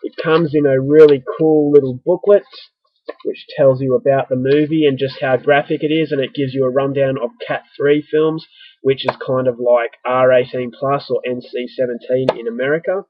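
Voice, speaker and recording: A man's voice: medium pitch, flat delivery, normal speed, no room sound, muffled recording. An Australian man with a stuffy, coming-down-with-the-flu voice, chatting casually to his viewers in a rambling, self-mocking vlog. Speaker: vlogger. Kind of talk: casual vlog talking to camera.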